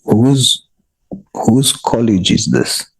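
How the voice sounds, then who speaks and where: A man, a committee member pressing a witness with slow, pointed, repeated questions in a parliamentary hearing. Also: mid-range voice, sing-song, gravelly, breathy edge, rough recording.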